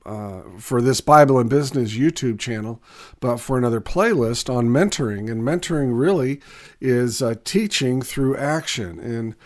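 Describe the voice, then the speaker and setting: A man with a raspy, hoarse voice from a recent cold, teaching a conversational video lesson on Bible passages for business owners, with frequent fillers and restarts. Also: mid-range voice, a little mellow.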